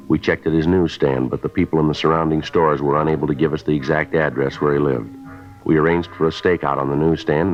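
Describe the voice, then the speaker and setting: An actor playing a stoic, businesslike police detective, clipped and matter-of-fact, in a muffled 1950s radio crime drama. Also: male voice, rumbling low. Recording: muffled old radio broadcast.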